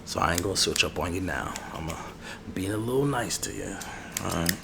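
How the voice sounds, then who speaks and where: A man's voice: deep voice, expressive, fairly quick. A man chatting casually in slang as an ASMR barber, rambling in a familiar way. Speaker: ASMR barber roleplay creator. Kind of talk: ASMR roleplay with casual chat. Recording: clear background noise.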